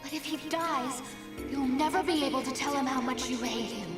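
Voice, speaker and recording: Woman acting a high, pleading, worried game character. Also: moderate pace, natural voice. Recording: distant, echoey, loud game music.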